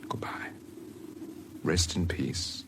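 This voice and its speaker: An actor playing a courteous, slightly hesitant English gentleman with a secret, in a British radio drama. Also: very slow, in a whisper. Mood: hushed, anxious. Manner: polite, hesitant, slightly fussy.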